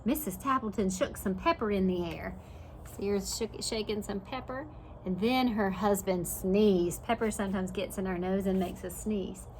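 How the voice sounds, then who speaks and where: A woman's voice: medium pitch, moderate pace, slight background noise, low-quality recording. A woman preschool teacher reading a picture book aloud and stopping to explain it simply for young children. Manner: simple explanatory asides, dramatized story reading.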